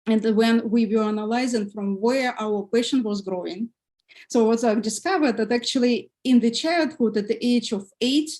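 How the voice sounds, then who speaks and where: A woman's voice: on the high side, moderate pace, darkish tone. A woman from Kazakhstan moderating a panel in a friendly way with simple phrasing and fillers.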